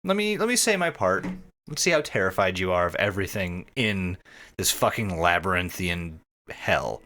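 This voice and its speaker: A man talks in a casual, joking podcast style with a bright, forceful edge. His pitch sits a little low but moves around a lot, and he swears easily as he goes. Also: expressive, moderate pace. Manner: profane, joking. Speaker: podcast co-host. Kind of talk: casual comedic podcast chat.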